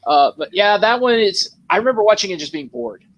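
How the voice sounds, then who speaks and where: Enthusiastic rambling man, slightly high voice. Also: animated, brisk, a bit bright, lo-fi sound. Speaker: podcast panelist. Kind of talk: casual chat.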